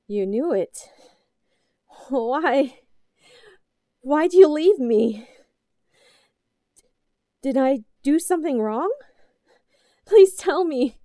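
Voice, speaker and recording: An obsessive, possessive yandere woman, acted by a woman for an intimate audio drama. Her voice is fairly high and mellow, recorded dry and close. She talks in slow, halting pleas, lovesick and unhinged. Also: dry recording. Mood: desperate, pleading. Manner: halting, pleading, swinging between soft cooing and outbursts. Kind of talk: scripted audio roleplay, intimate and melodramatic.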